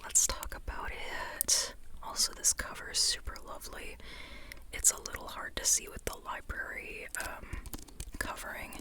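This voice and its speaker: Slow, whispering ASMR host. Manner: soft, unhurried, repeats phrases slowly. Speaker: ASMR host reviewing a book. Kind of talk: whispered ASMR, informal rambling.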